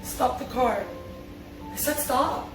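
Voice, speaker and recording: A woman's voice: high voice, slow pace. A woman at a script table read, playing a distraught young woman pleading with loved ones. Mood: upset, demanding. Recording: echoey, distant mic, music behind.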